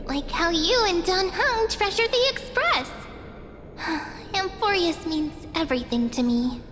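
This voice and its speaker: An actress playing a gentle, loyal, girlish companion in a fantasy video game, tender and earnest. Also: very high-pitched, lively intonation, measured pace. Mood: devoted. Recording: long reverb with music behind.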